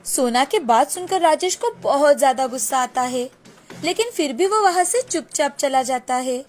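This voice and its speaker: A woman's voice: sits high, animated, normal speed. A woman narrating a scripted storybook tale as the storyteller, with music behind her. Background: background music.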